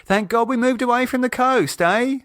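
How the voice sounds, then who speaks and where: Guy putting on a blunt working class brit woman for an audiobook, voice pushed up high. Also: moderate pace, a bit bright, dry recording, clean studio sound. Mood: surprised, chatty. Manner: blunt, slangy, colloquial. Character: brash working-class British woman, pub landlord's partner. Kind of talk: audiobook character acting.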